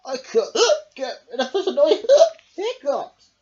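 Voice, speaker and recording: A woman's voice: high-pitched, sing-song, slow pace, darkish tone, muffled recording, pitched above the performer's own voice, heavily acted. A woman voicing a young cartoonish storybook shark who hiccups and gasps through the words. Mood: grumbling. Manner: gasping hiccups breaking up the words.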